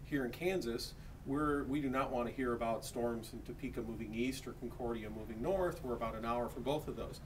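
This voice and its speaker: A man's voice: mid-range voice, fairly quick, few pauses. A man with an American accent explains products informally and plainly, like a shop salesman. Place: electronics store. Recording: distant mic, faint background noise.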